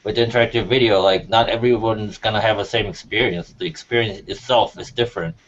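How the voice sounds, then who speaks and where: A man's voice: fairly low pitch, fairly even intonation, brisk. A man giving his opinions casually as a panelist on a music talk show. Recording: muffled audio with faint noise.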